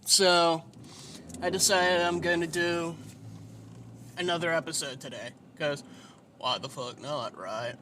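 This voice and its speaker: Male podcast host, nasal and whiny, high bright voice, slow rambling delivery, faint hiss behind. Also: fairly even intonation. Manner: rambling, self-deprecating, swears freely. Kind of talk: casual solo podcast monologue.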